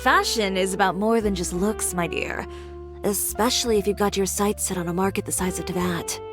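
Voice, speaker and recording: A woman's voice: mid-range voice, a little fast, close to an everyday voice. A woman voicing a confident, businesslike boutique owner with a composed, matter-of-fact way of talking. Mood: instructive. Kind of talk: video game voice acting. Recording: game audio with music behind. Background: game music.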